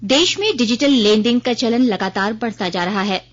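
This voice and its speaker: A woman reading a scripted radio news bulletin in a formal, measured way. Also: a little high, highs cut off.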